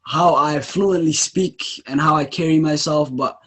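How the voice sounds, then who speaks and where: A man's voice: a little high, fairly even intonation, a little slow, fairly bright tone, slightly rough. A young adult man from Botswana, a podcast guest, talking casually and hesitantly with many ums and restarts.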